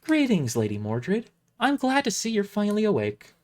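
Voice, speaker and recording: A man's voice: high voice, huge pitch swings, normal speed, voice pushed up. A man voicing a timid, polite teenage boy acolyte with a hesitant, stammering manner in an audio story. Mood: polite, nervous.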